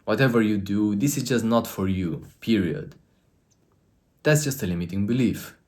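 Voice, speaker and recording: A man with a Romanian accent speaks in a very deep, low register. He coaches his viewers directly to camera, earnest and emphatic, in the lecturing tone of a motivational talk. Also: normal speed, darkish tone.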